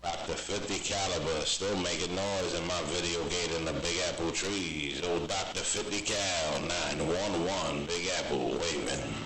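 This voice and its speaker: Deep-voiced New man, echoey CB banter. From New York City, US. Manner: boastful showman patter with drawn-out call signs. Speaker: CB radio operator and video channel host. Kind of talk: CB radio calls and banter. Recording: echoey room, very loud radio chatter.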